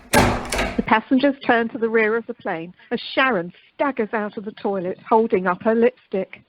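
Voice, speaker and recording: A woman's voice: on the high side, normal speed, loud music behind the voice, natural voice, poor audio. A woman acting as the narrator of a radio play, reading out the stage action. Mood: narrating. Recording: amateur telephone conference recording.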